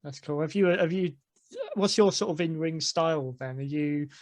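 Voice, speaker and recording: A man hosting a podcast interview, asking relaxed questions and offering short acknowledgements. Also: fairly high pitch, normal speed, dry recording. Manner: brief backchannels and easygoing questions. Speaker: podcast host. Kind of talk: casual interview chat.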